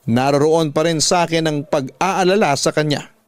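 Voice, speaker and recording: Man, Filipino accent, slightly high pitch, radio storyteller, dramatic letter reading, advice-giving host, dry studio-like sound. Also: normal speed, clear, resonant tone, no room sound. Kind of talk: dramatic first-person reading of a listener's letter.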